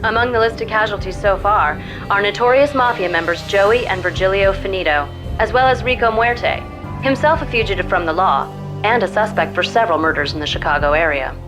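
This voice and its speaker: An American woman playing a serious TV news reporter in a game, delivering a crisp, formal report. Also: mid-range voice, lightly acted. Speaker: news anchor. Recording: music behind the voice.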